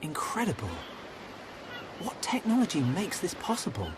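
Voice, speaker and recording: An actor playing an earnest, trusting young hero in a video game, with a sincere, gentle manner. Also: male voice, high-pitched, sing-song, moderate pace, noisy background. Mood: curious. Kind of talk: video game cutscene acting.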